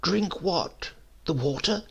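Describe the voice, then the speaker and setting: A man plays a sly, smooth-talking old uncle from a storybook, a secret poisoner. The voice is gravelly and husky, with politely drawn-out phrases that swing up and down. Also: a little high, animated, dull audio, voice pushed up, heavily acted. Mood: nervous. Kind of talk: acted dialogue in a storybook reading.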